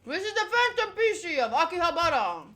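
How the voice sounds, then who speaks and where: A woman's voice: extremely high pitch, sing-song, a little fast, fairly bright tone. A young woman, reading a visual novel aloud and commenting casually and playfully as she streams. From United States. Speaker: game streamer. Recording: voice over game music. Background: game music.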